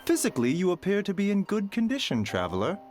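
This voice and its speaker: An actor playing a calm, courteous male doctor who speaks in a measured, formal way. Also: sits high, soft background music.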